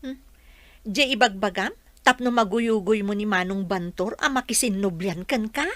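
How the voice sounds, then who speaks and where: A woman's voice: mid-range voice, moderate pace, a bit bright, no room sound, natural voice. A woman playing a practical, reluctant friend who questions and protests, in a Filipino radio drama. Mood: urging.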